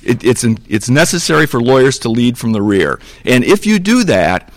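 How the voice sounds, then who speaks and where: A man speaks as a panelist to an audience in a mid-pitched voice. His delivery is halting, and he repeats phrases for emphasis. The sound is close and dry, with little room in it. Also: very animated, no echo. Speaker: panelist, lawyer.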